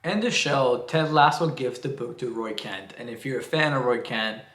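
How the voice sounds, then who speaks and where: A man's voice: mid-range voice, moderate pace, fairly bright tone. A man with a Cuban accent talking casually to the camera as a book vlogger, chatty and rambling. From Cuba. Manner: chatty and rambling, with frequent uh and restarts. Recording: roomy echo.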